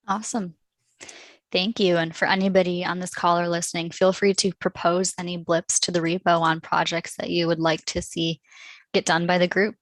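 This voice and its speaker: A woman hosting an online meeting, polite and measured as she hands over to speakers. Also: on the low side, little pitch movement, no echo. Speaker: meeting host. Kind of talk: meeting moderation. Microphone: video call.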